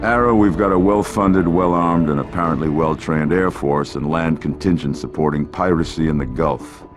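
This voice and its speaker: Authoritative older male squadron commander, deep, measured game briefing over prominent music. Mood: businesslike. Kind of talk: game cutscene military briefing. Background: loud music.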